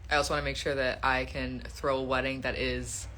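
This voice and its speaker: Bright-voiced young woman chatting on a live stream. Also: extremely low pitch, little pitch movement, fairly quick, a little hiss or hum. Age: 20s. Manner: chatty, laughs often, reads out viewer questions. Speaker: live stream host answering fans. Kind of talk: casual live stream chat. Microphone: phone.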